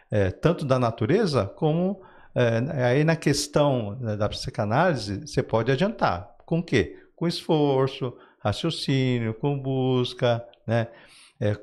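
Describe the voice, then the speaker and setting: A Brazilian man with a mid-pitched voice talks as an interview guest. He explains ideas in a conversational, anecdotal way, reaching for everyday examples and often adding small check-in tags. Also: a little mellow. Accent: Brazilian Portuguese. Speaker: guest psychoanalysis author.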